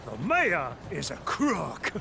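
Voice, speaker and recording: An actor playing an indignant, pompous, wealthy middle-aged gentleman in a video game, shrill and outraged. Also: huge pitch swings, slow, gravelly, heavily acted. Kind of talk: video game cutscene acting. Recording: loud carriage and ambient noise behind.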